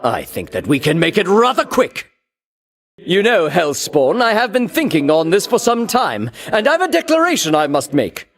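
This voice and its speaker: An actor playing a pompous, vainglorious ancient Greek champion in a video game, speaking in haughty, grandiloquent, archaic phrases with theatrical bombast. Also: male voice, sits high, huge pitch swings, moderate pace, exaggerated voice. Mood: contemptuous, challenging. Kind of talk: theatrical video game villain-rival dialogue.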